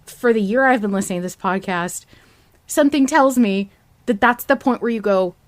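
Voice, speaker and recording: A woman's voice: medium pitch, moderate pace. A woman hosting a podcast, talking casually and emphatically with opinions and casual swearing. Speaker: podcast host. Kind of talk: casual discussion chat.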